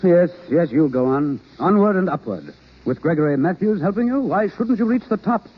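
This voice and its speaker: An actor playing a self-effacing, lovesick acting teacher in a 1930s radio romance, speaking earnestly. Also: male voice, high-pitched, animated, moderate pace, breathy edge. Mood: resigned, encouraging. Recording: muffled old radio recording.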